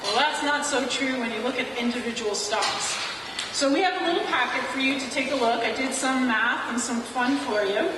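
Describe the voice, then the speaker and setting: A woman's voice: high-pitched, normal speed. Woman presenter, slight Dutch-sounding accent, chatty, distant echoey room. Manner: chatty and joking. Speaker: wealth advisor presenting. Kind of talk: informal presentation to an audience. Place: large echoey venue, a car showroom. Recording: echoey hall from far off, noisy.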